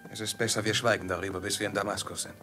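An actor playing a proud, guarded servant in a terse, formal 1950s radio play manner. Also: male voice, lowish voice, level tone, rapid-fire, bright, forceful tone, soft background music. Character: proud, guarded servant turning enemy to his master.

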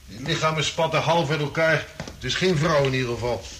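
A man's voice: on the high side, a little fast, a bit bright, lightly acted. A man acting a tough, mocking desperado in a radio play, with a blunt, sardonic manner. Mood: blunt, bold. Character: tough, mocking male desperado, a criminal sidekick. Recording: radio drama with background noise.

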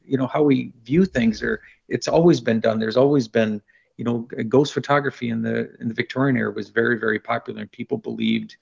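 A man's voice: mid-range voice, fast, creaky, darkish tone, breathy edge. A middle-aged man talking in an earnest, rambling way, repeating words as he gets worked up, in a video call discussion. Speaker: panel guest. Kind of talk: casual discussion. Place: indoor studio room on a video call. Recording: dull lo-fi video call audio.

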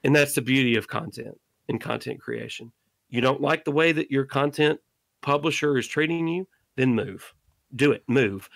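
A man's voice: medium pitch, normal speed, gravelly, fairly bright tone, dry recording. A middle-aged man speaking as a podcast guest, earnestly urging listeners to keep creating. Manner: impassioned, exhorting listeners. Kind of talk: earnest interview monologue.

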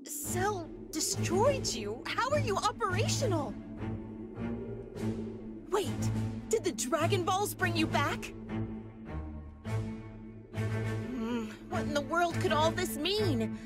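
A woman's voice: very high voice, very animated, slow pace, pauses often, exaggerated voice. An actress playing an exasperated, bossy young woman in an anime video game dub, with a sassy, dramatic delivery. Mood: startled, puzzled. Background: loud music.

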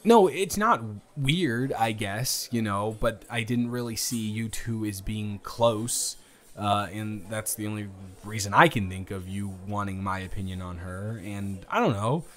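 A man's voice: on the low side, unhurried, a bit bright. A young man improvising a first-person date roleplay, teasing and rambling with frequent restarts, recorded close on a home studio mic. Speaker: audio roleplay performer.

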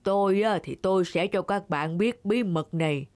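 A woman voicing a talking forest animal in an animated fairy tale, explaining things in a measured storytelling way. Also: medium pitch, natural voice. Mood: confiding. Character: talking forest animals sharing secrets at a meeting. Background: music.

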